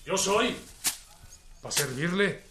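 An actor playing a bold, defiant Mexican peasant revolutionary leader in a radio drama, with plain rural speech. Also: male voice, high-pitched, lively intonation, takes it slowly, bright, forceful tone, heavily acted. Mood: sly, deferential. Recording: echoey, noisy crowd ambience.

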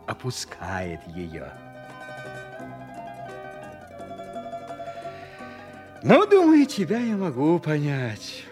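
A man playing a wry, world-weary city judge who tells his story deliberately and theatrically in an audio play. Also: medium pitch. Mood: wry, musing. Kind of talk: dramatic first-person narration in an audio play.

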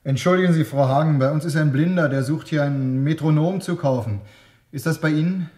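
An actor playing a brusque, sceptical young husband who talks colloquially and dismissively in a radio drama. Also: male voice, a little high, a little fast, darkish tone, lightly acted. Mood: impatient. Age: young adult. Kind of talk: German radio drama acting.

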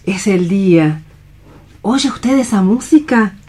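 A woman playing a gentle, hopeful woman in a radio play, speaking earnestly and with careful formality. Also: mid-range voice, expressive, slow pace, slightly rough. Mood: gentle concern. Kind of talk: theatrical radio play reading.

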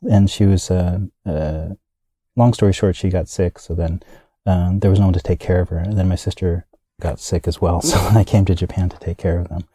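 A man's voice: low-pitched, steady tone, normal speed, dark tone, husky, no echo. A man being interviewed, talking casually and rambling, with many fillers and restarts. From United States. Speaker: guest.

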